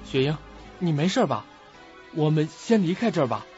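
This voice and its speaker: A man's voice: on the high side, sing-song, normal speed, a little mellow. An actor playing a troubled, protective young male student in an audio drama, on a lo-fi recording. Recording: dull lo-fi recording with music.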